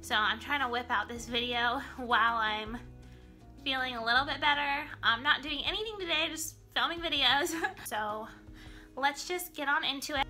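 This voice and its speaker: A woman's voice: sits high, moderate pace, pushed and bright, soft background music. A young woman chatting casually while doing her makeup in a small bedroom, a bit nasal from a cold and rambling with lots of ums and likes. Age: young adult. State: sick, slightly nasal. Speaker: beauty vlogger. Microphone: camera in front, close framing.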